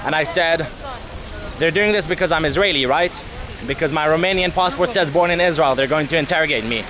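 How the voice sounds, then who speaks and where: A young man telling a travel story to camera as a vlogger, candid and animated, swearing casually, outdoors in a city street. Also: fairly high pitch, brisk, a crowd in the background. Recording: music playing under the voice.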